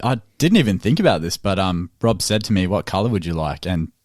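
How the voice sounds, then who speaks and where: A man from Zimbabwe, an amateur boxer, chatting casually in an interview, relaxed and quick to laugh. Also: low voice, no echo, studio quality. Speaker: amateur boxer in charity fight.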